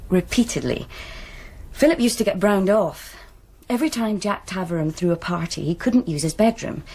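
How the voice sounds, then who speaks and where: Young British woman student, guarded, terse, defensive, slightly rough husky tone, radio drama. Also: lowish voice, animated, normal speed, darkish tone. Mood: guarded, reluctant. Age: young adult.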